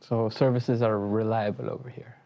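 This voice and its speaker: A woman's voice: extremely low pitch, flat delivery, normal speed, dark tone. A woman from Mexico hosting an interview, asking short friendly questions and prompting the guest. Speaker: interviewer, host. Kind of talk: casual interview.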